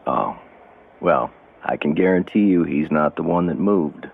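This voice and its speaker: An actor playing a steady, devout, middle-aged prisoner in a radio drama, plain-spoken and folksy, with a gravelly, husky voice. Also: male voice, extremely low pitch, measured pace, narrow, tinny audio, a little hiss or hum, heavily acted. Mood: blunt concern. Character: steady, blunt, devout male long-term prisoner, kindly counselor.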